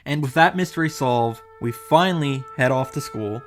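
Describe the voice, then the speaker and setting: A man's voice: medium pitch, moderate pace. A man hosting a visual novel reaction summary, narrating casually and comedically with sarcastic, sweary commentary. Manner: sarcastic, profane. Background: music.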